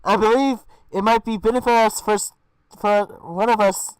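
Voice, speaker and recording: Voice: mid-range voice, steady tone, normal speed, clean tone, dry recording, lightly acted, low-quality recording. A streamer voicing a formal male hero who speaks through a helmet, in stiff, stilted phrasing with stumbles. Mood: earnest.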